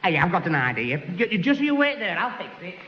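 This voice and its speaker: Flustered stammering fella, fussing, old dull radio recording w/ reverb. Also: male voice, high-pitched, sing-song, brisk. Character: earnest, dim, fussing young man, stammering. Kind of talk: 1960s radio sitcom acting. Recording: old 1960s radio broadcast, dull, reverberant, faint hiss.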